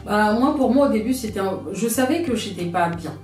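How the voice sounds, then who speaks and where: A woman's voice: mid-range voice, lively intonation, fairly quick, darkish tone, soft background music. A woman in her thirties from France, giving a personal testimony as an interview guest, speaking in a reflective, hesitant way as she searches for words. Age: 30s. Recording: roomy, from a distance.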